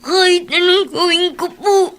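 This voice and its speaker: Tearful, very high-voiced little child. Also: steady tone, unhurried, a bit bright. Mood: tearful, plaintive. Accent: Filipino. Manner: polite, plaintive, childlike. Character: tearful, pleading young child longing for father's affection. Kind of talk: Tagalog radio drama acting.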